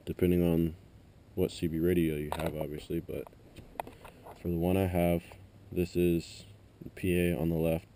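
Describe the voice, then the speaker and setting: A man's voice: low voice, flat delivery, moderate pace. A man giving a casual, unscripted hands-on how-to demonstration in a plain-spoken, explanatory way, recorded on an action camera. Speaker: amateur installer giving a car tutorial. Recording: noisy action camera, vehicle ambience.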